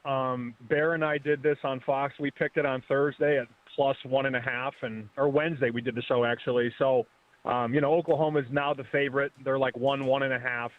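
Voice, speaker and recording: A man's voice: medium pitch, level tone, brisk, no echo. Guy in his 30s calling in over a tinny phone, chatty, keeps restarting words. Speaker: betting analyst guest. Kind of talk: casual sports betting chat. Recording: phone line.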